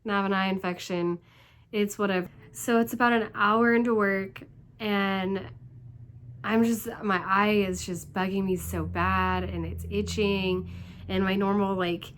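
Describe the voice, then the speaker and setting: A woman with a hoarse, congested voice from laryngitis and a cold talks casually to her viewers. Her pitch sits in the middle of the usual range for women. She rambles along with plenty of "like" and "um". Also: fairly even intonation, a little slow, fairly bright tone, slight background noise. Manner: rambling, chatty, full of "like" and "um". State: congested, stuffed up. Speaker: vlogger. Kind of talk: casual storytelling vlog.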